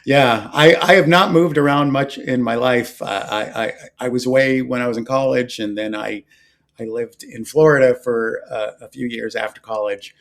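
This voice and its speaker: A middle-aged American man hosting a casual podcast interview, agreeable and rambling, in halting phrases. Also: medium pitch. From Philadelphia area, US. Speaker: podcast host.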